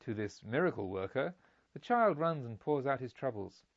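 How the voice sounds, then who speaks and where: A man's voice: medium pitch, big pitch swings, normal speed, muffled recording. A man narrating a storybook expressively, as in an audiobook.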